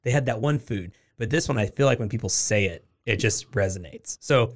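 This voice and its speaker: A man's voice: lowish voice, animated, fairly quick. Chatty male podcast host. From United States, Oregon. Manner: chatty, many affirmations and restarts. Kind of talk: casual interview.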